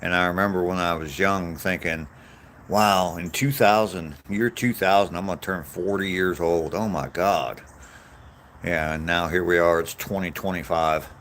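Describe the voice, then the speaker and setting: Man, 60s, very low voice, casual rambling, self-deprecating, vlog walk chat. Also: steady tone, moderate pace, a bit bright, a little hiss or hum. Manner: meandering, self-deprecating, answers viewers aloud. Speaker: vlogger reading live chat on a walk. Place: outdoors on a walk.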